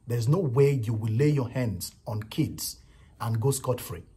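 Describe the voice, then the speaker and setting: Nigerian-accented male vlogger, very raspy voice, repetitive emphatic opinion delivery. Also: mid-range voice, measured pace, dark tone. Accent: Nigerian English. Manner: repetitive, emphatic, frequent "you know" and "right". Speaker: vlogger commenting on a viral clip. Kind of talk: casual opinion commentary.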